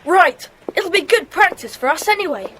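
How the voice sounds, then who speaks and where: Bright, very high-voiced keen schoolboy. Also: female voice, animated, moderate pace, slight background noise. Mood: eager, observant. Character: keen, observant schoolboy in a detective gang. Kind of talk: children's audiobook dramatisation.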